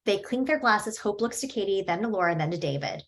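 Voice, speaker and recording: A woman's voice: medium pitch, lively intonation, fast talker, lightly acted. A woman reads stage directions as scripted narration at a table read.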